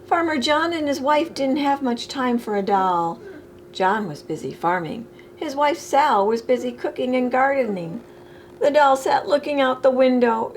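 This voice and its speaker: A woman reading a children's picture book aloud expressively, with deliberate phrasing, as a storytime reader. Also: on the high side, a little hiss or hum.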